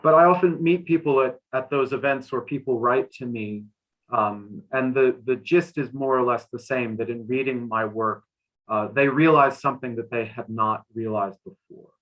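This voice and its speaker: A man speaking as a literary translator on a discussion panel, thoughtfully, often halting and repeating a phrase as he goes. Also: mid-range voice, muffled recording. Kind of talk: panel discussion, interpreting and answering questions.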